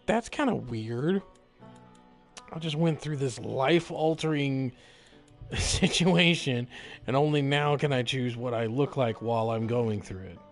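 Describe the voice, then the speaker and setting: A man giving casual live gameplay commentary, musing aloud in a jokey, drawn-out way. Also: mid-range voice, soft background music. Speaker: game streamer.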